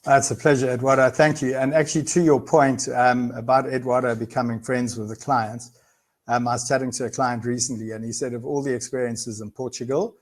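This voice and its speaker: A man's voice: medium pitch, fairly even intonation, fairly quick. Man hosting a business webinar. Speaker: webinar host, firm representative.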